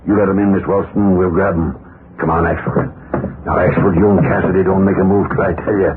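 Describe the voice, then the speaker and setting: A man playing a brisk, commanding police sergeant in a 1940s radio crime drama, giving clipped orders. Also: deep voice, level tone, natural voice. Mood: urgent, hushed orders. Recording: noisy narrow-band 1940s radio broadcast.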